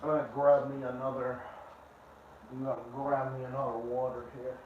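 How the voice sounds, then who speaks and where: A man's voice: medium pitch, level tone, normal speed, a little hiss or hum. A man co-hosting a casual livestream show, talking in a crude, rambling and repetitive way. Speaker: co-host. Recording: echoey room, dull lo-fi sound.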